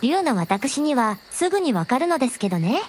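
Woman as a high-voiced, courteous dragon princess. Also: sing-song, brisk, a little hiss or hum. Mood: polite, serene. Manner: very polite, formal, softly teasing. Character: gentle polite dragon princess, a shrine maiden's alternate self. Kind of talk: scripted audio drama acting.

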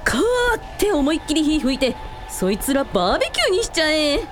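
A woman playing a brash, hot-blooded elder sister and shrine priest in a radio drama, bossy and rough-mouthed. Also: high voice, very animated, brisk, slight background noise. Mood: rowdy, egging on.

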